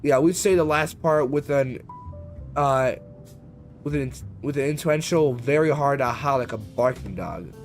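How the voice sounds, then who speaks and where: A man's voice: medium pitch, normal speed, soft background music. A man chatting casually as he live-streams a flight simulator, in a rambling, slangy, conversational way. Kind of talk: casual live-stream chat while gaming.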